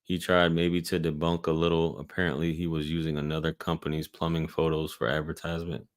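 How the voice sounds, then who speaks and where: Deep-voiced man hosting a live Q&A. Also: flat delivery, a little fast. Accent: Southern American. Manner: laid-back, reads out chat questions and answers them. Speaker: livestream host answering viewer questions. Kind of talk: casual live Q&A chat.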